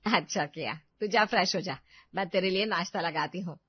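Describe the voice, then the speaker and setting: A woman's voice: lowish voice, brisk, husky, dry recording, highs cut off. A woman voicing a harsh, bossy older mother-in-law with a commanding manner in a family drama story. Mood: doting. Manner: scolding, commanding.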